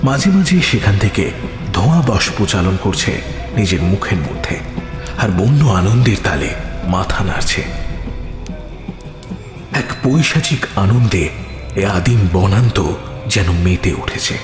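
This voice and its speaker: A man's voice: on the low side, lively intonation, a little slow, long pauses. A man narrating a scripted horror audio story in a theatrical, suspenseful manner. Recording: reverberant hall effect with music behind. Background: music.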